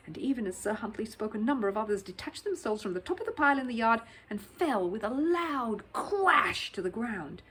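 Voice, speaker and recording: A woman narrates a children's audiobook with animated, dramatic expression. Her pitch rises and falls widely, and her voice has a faintly husky texture. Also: rough recording. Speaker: storyteller.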